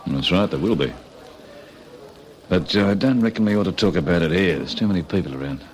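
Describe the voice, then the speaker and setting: An actor playing a cocky, impatient Australian criminal ringleader in an old radio crime drama, talking colloquially and persuasively. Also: male voice, low-pitched, lively intonation, a little fast, a little mellow. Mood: businesslike.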